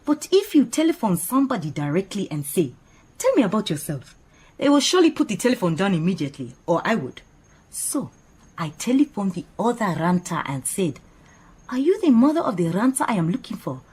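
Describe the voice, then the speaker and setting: Animated, opinionated woman assistant. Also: medium pitch, brisk, breathy edge. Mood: proud, eager. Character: earnest, opinionated female detective's assistant, proud and chatty. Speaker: actor in radio drama. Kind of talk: radio drama acting.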